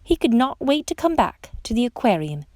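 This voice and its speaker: A woman reads a children's story aloud in an expressive storytime style. Her pitch moves a great deal, with dramatic emphasis on exclamations and questions. The recording is dry, with hardly any room sound around her voice. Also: normal speed, no room sound. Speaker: storytime reader. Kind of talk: read-aloud children's picture book narration.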